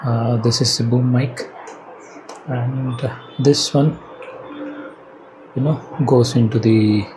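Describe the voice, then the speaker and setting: A man with an Indian accent giving casual unboxing and installation commentary as a product reviewer, halting and hesitant as he thinks aloud. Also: mid-range voice, steady tone. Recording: clearly noisy background ambience.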